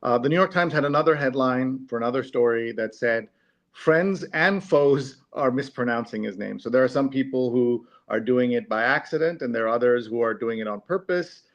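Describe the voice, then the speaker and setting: A man's voice: mid-range voice, moderate pace, lo-fi sound. A man hosting a live discussion show, talking casually to his audience.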